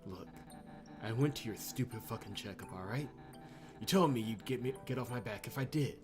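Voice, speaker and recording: A man's voice: mid-range voice, a little fast, natural voice. Guy doing a cocky sweary lady wrestler from a game, stubborn, music behind. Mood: irritated. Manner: cocky, profane, combative. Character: brash, foul-mouthed, stubborn female wrestler.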